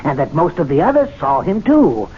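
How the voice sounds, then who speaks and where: A timid club attendant who mutters and schemes, his voice swinging widely in pitch and sitting a little high. It comes through a dull, hissy old radio broadcast. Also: moderate pace. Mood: indignant. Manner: excitable, muttering, plotting aloud. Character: meek club attendant, middle-aged man, scheming. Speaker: lead actor in radio drama. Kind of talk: 1970s radio drama acting.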